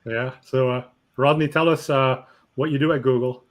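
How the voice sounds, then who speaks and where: A man hosting a marketing webinar, talking in an energetic, conversational way with fillers. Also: medium pitch, normal speed. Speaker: host.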